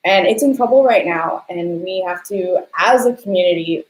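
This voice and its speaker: A woman's voice: on the low side, normal speed, reverberant, rough recording. A woman hosting a conversational interview about her field, speaking in an earnest, explanatory way. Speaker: host and theatre lighting designer.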